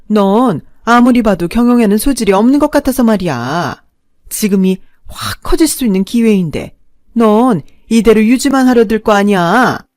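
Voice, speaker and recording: A woman's voice: medium pitch, lively intonation, normal speed, darkish tone, no room sound, studio quality, pitched above the performer's own voice, close to an everyday voice. A woman voicing a scheming, greedy older mother-in-law who talks down to her daughter-in-law, in a story reading. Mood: scolding. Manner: scolding, condescending.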